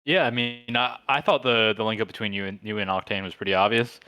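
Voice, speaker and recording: A man's voice: on the low side, fairly even intonation, quick pace, pushed and bright, no room sound. A man co-hosting a podcast, chatting casually and analyzing the game with lots of "like" and "you know". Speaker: podcast co-host.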